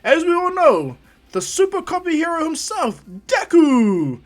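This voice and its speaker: A man voicing a loud, hyped anime tournament announcer, a showman who draws out the names he shouts. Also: huge pitch swings, heavily acted. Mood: hyped, showy. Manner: booming showman, drawn-out shouts.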